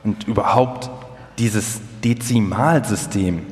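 An actor playing a wry, self-assured male engineer recalling his work with expressive, opinionated emphasis in a live radio feature. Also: on the low side, slow, faint music behind. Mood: dismissive, amused. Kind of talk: dramatised quotations in a live documentary radio feature. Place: live stage performance in a hall. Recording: echoey hall, long reverb.

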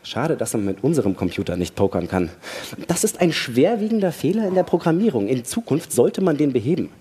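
A bored, needling male astronaut on a moon station , acted by a man for a radio play. His voice is medium in pitch and casually sardonic. Some noise sits on the recording. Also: sing-song, a little fast, dark tone, close to an everyday voice. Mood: bored, teasing. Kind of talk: staged radio play reading.

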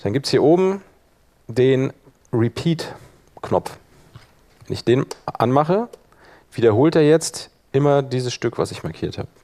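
A man presenting a hands-on software workshop live, informally thinking aloud as he demonstrates each step. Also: medium pitch, lively intonation, slow pace, long pauses.

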